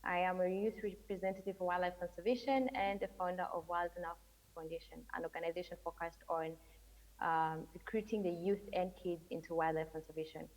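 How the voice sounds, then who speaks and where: A young woman, introducing herself haltingly over a video call. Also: fairly low pitch, steady tone, a little mellow, in a large hall, low-quality recording. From Kenya. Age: 20s. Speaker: youth conservation representative.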